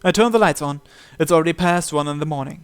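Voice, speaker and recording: Guy kinda high voice reading visual novel narration out loud for his game channel. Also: fast, smooth. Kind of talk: narration read aloud from a game script.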